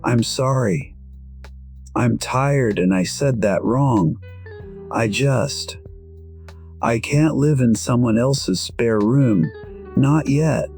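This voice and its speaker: Slow, weary older male musician played by a man. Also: mid-range voice, darkish tone, soft background music. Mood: tired, defensive. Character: weary, proud 62-year-old male musician, quietly regretful. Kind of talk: first-person scripted narration, audio drama.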